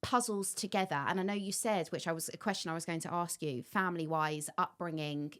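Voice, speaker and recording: A woman hosting a podcast interview, chatty, with quick asides and frequent interjections. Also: on the low side, steady tone, a bit bright, clean tone. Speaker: host, life coach and therapist.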